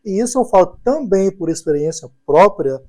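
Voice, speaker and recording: Young male psychologist guest, warm mellow tone, talkative storyteller. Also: sits high, expressive, normal speed. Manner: affirming, storytelling, frequent "né" and "perfeito". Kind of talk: podcast interview chat.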